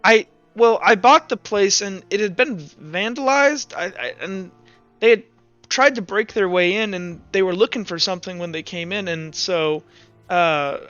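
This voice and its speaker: A man voicing a nervous, emotional young fellow who talks in a halting, apologetic stammer. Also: sits high, a bit bright, smooth, dry recording, highs cut off.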